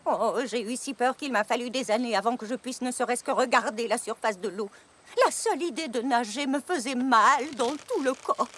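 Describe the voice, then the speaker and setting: A woman's voice: on the high side, moderate pace, fairly bright tone. A woman voicing a feisty elderly farm pony grandmother in a French cartoon dub, with folksy, emphatic delivery. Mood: dramatic. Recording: music behind the dialogue.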